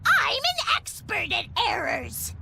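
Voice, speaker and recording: An actor voicing a small, excitable, cowardly creature in a video game, with a squeaky, cutesy voice and a whiny, complaining manner. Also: takes it slowly, creaky, a loud crowd, full character voice. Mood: matter-of-fact. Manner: complaining, exclaiming. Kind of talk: animated video game dialogue.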